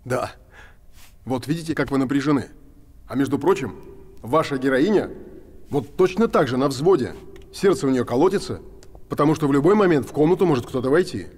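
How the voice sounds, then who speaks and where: A man's voice: medium pitch, expressive, brisk, long pauses, a little mellow, husky. An actor playing an impassioned, theatrical stage director who instructs his cast insistently. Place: theatre hall, indoors.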